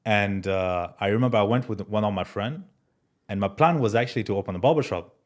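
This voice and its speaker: Young guy from france, low voice, chill podcast guest, lots of bro and man. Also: fairly quick. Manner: relaxed, many fillers like "bro" and "man". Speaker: guest entrepreneur. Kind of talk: casual interview chat.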